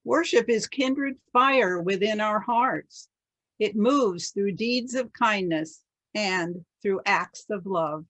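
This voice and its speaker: A woman reads liturgy slowly and steadily over a video call. Her voice is close and dry, with no room around it, and every phrase is given space. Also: mid-range voice, dry recording, poor audio. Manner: calm, deliberate reading. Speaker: worship associate. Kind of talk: reading liturgy aloud.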